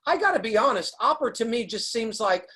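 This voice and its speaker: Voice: medium pitch, very animated, fast talker, sharp tone, breathy edge. An interviewer asking questions in a casual style, hesitant and searching for the right words.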